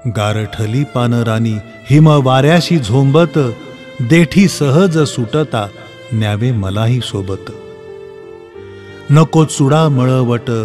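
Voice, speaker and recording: A man reads in a measured, expressive and solemn way, as in a poetry recitation. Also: medium pitch. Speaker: reader reciting a poem by a late relative.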